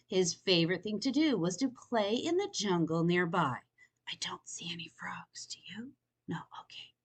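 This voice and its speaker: A woman reads a picture book to young children at a leisurely pace. Her pitch moves around a lot as she tells the story. She speaks invitingly and wonders aloud along with her listeners.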